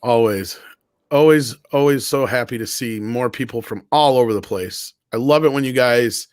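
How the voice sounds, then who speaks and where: A man's voice: mid-range voice, normal speed, no echo. Casual, sweary man with a dry, close sound. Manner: informal, sweary, rambling. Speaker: co-host of an online panel show. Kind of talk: casual livestream chat, reading viewer comments.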